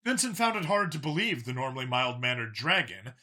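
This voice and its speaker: Man narrating fiction, bright and expressive. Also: medium pitch, fairly quick. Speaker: audiobook narrator. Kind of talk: scripted story narration.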